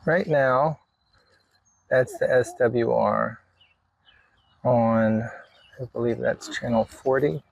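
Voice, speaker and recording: A man hosting a casual hobby walkthrough, explaining things in a halting way with pauses mid-sentence. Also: medium pitch, steady tone, creaky, low-quality recording. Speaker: hobbyist video host. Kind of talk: casual hands-on walkthrough to camera. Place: in and around a parked vehicle.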